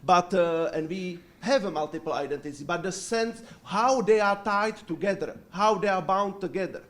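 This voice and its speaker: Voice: medium pitch, normal speed, fairly bright tone. A panelist at a conference who talks hesitantly and interjects often. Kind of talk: academic panel discussion.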